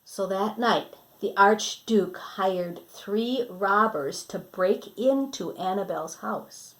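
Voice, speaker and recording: A woman's voice: mid-range voice, takes it slowly, low-quality recording. A woman reading a picture book aloud to children, dramatic in delivery and putting questions to her young listeners. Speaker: children's story reader and teacher.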